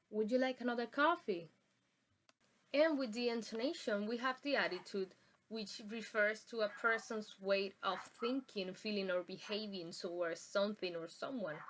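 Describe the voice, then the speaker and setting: Halting young woman presenting a lesson. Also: mid-range voice, lively intonation, fairly bright tone, low-quality recording. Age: young adult. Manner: halting, with many ums and uhs and restarts. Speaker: student presenter. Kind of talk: classroom presentation on pronunciation.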